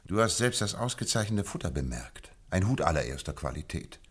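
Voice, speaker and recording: An actor playing a shrewd middle-aged detective in a radio drama, lecturing with teasing, theatrical emphasis. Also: male voice, low-pitched, big pitch swings, brisk, slightly rough, heavily acted. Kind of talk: 1950s radio drama acting.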